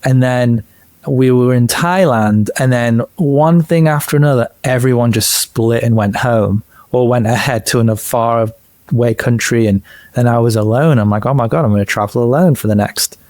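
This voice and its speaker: A man's voice: medium pitch, normal speed, darkish tone. A man hosts a casual interview, his voice sitting mid-range for a man. He is eager and curious, jumping in with questions. The sound is dry, with no room echo. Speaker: interview host.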